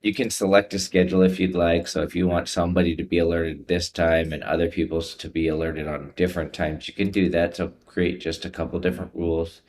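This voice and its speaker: A man guides viewers through software. His delivery is informal and explanatory, taking things one step at a time as a tutorial presenter. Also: deep voice, little pitch movement, fairly quick, few pauses.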